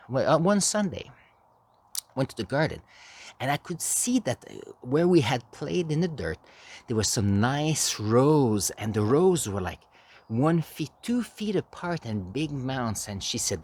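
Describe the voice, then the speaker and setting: A man giving a storytelling speech as an amateur speaker, halting, with dramatic pauses and emphasis. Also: mid-range voice, a little mellow. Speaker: amateur public speaker practicing a contest speech. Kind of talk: animated storytelling speech, tall tale.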